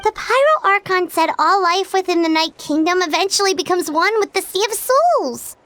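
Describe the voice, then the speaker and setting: Tiny girlish fairy, squeaky, extremely high, animated, curious, eager, video game dub. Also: normal speed, a little hiss or hum. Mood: doubtful. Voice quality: squeaky, childlike.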